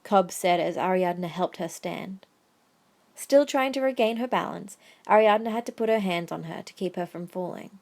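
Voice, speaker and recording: A woman's voice: fairly low pitch, normal speed, gravelly, darkish tone. A woman reading scripted third-person story narration as the narrator of an audio drama.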